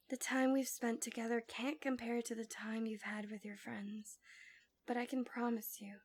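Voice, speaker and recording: A woman playing a manipulative villainess in an ASMR roleplay, tenderly soothing her captive in a gentle, coaxing, breathy voice that slips into whispers. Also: fairly high pitch. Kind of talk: ASMR roleplay, intimate soft speech slipping into whispers.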